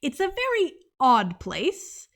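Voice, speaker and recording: A woman's voice: sits high, wildly swooping pitch, slow, a bit bright. A young woman delivering a scripted book review video essay, earnest and analytical, drifting off into asides now and then. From United States. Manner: earnest, analytical, with sidetracks and small laughs.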